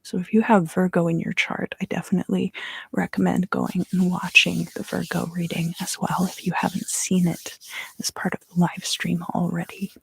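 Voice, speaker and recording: A woman giving an ASMR card reading for a live stream in a soft, unhurried, often fully whispered voice, close to the microphone. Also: on the low side, vocal fry, breathy edge, no room sound, rough recording. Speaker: ASMR tarot reader and live stream host.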